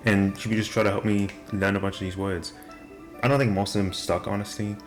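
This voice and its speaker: A young man with a deep voice and nonstandard R sounds, talking casually and self-deprecatingly to the camera. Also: flat delivery, brisk. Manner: rambling, self-deprecating, many restarts. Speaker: vlogger. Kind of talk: casual rambling monologue. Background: video game music playing.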